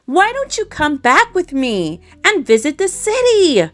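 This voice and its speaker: A woman's voice: high voice, wildly swooping pitch, measured pace, fairly bright tone, faint music behind, voice pushed up, full character voice. A woman voicing a bubbly, boastful female city mouse cousin in a children's storybook, gushing and exclamatory. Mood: eager.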